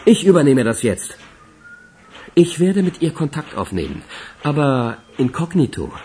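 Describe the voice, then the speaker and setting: Blunt, mellow-voiced police major. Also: male voice, on the high side, big pitch swings, a little slow, a little hiss or hum. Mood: angry, commanding. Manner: blunt, takes charge. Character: assertive male police major from the capital, blunt. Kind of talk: German radio crime drama acting.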